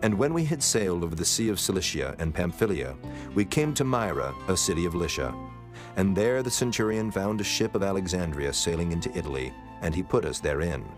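A man's voice: low voice, expressive, a little fast. A man narrating scripture from a script in a formal, solemn manner. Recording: prominent music bed under voice.

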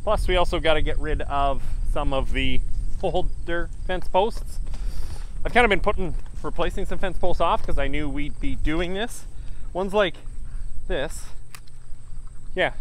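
A man's voice: on the high side, a little slow. A young man, a farmer, talking casually and matter-of-factly for a vlog while working outdoors in a field. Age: young adult. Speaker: farmer vlogger, host. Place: outdoors in an open farm field. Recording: noisy outdoor camera audio.

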